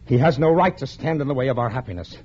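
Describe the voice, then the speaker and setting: A man's voice: mid-range voice, expressive, fast, a little mellow, a little hiss or hum, close to an everyday voice. A man playing a lovesick, desperate lover with an intense, ardent delivery in 1950s radio melodrama style. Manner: ardent, intense, repeats words for emphasis. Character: lovesick, desperate male lover, easily led to murder. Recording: dull, muffled vintage radio recording.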